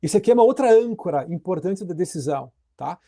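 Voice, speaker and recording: A man's voice: high-pitched, animated, fast talker, vocal fry, dark tone. A middle-aged man giving a law lecture, talking casually to his students and checking in with them often. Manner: conversational, addresses students directly with frequent tag questions. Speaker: law professor. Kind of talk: recorded lecture on legal theory.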